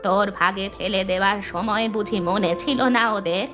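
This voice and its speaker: A woman voicing an old village great-aunt, plaintive but generous, with a drawn-out delivery in a cartoon dub. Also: mid-range voice, fairly even intonation, over music, lightly acted. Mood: earnest. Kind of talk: Bengali cartoon dubbing.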